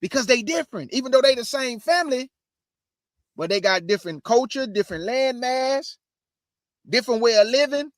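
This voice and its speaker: A man's voice: very high-pitched, animated, normal speed, no room sound, clean studio sound. A man hosting his show, giving casual religious commentary in a preachy way and calling his listeners family. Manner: preachy, addresses audience as family. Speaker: host.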